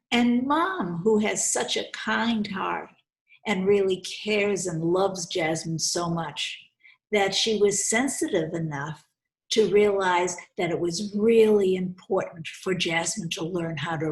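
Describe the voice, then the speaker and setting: A woman hosting a children's storytime, speaking deliberately and instructively as she reads and explains, often repeating phrases for young listeners. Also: fairly low pitch. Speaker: host reading children's books aloud. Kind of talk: storytime read-aloud with commentary to children. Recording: roomy, echoey sound.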